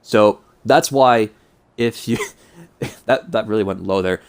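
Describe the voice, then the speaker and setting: A man's voice: fairly low pitch, takes it slowly. A man of twenty-two with a mild cold and stuffed-up nose, talking casually and self-consciously in a hesitant, rambling vlog. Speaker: YouTuber.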